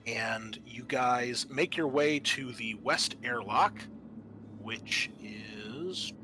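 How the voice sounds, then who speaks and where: A man running a tabletop roleplaying game as game master, narrating in a halting, improvised way. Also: mid-range voice. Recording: noticeable background noise.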